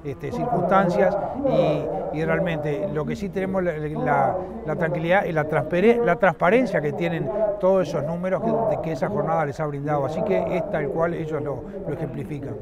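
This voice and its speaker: Halting Uruguayan man outdoors at a fair. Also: on the high side, a loud crowd. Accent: Uruguayan Spanish. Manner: halting, many fillers and restarts. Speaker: rural association president. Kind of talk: outdoor interview. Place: outdoors at a livestock fairground.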